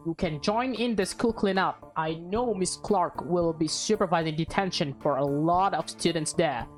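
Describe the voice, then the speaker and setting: A woman's voice: fairly low pitch, normal speed, soft background music, natural voice. A woman voicing a stern, scolding mother who teaches at a school, firm and reproachful, in a visual novel. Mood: cold warning.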